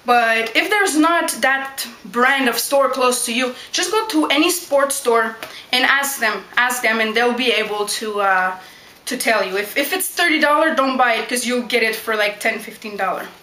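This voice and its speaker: Young woman doing a q&a vlog, high bright voice, echoey room. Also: moderate pace, slight background noise. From Montreal, Quebec, Canada. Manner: chatty, direct, keeps asking "you know what?". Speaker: backyard wrestler. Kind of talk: casual Q&A vlog.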